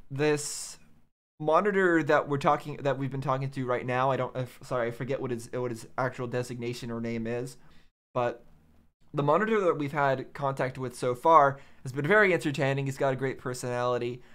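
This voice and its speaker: A man giving casual, thoughtful reaction commentary, thinking aloud in a halting way. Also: medium pitch, fairly bright tone. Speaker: reaction video host.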